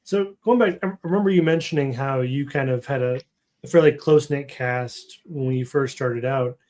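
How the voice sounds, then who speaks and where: A man's voice: mid-range voice, moderate pace, a little mellow. Casual man hosting a podcast. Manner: eager, casual questions with many "like"s. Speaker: podcast host.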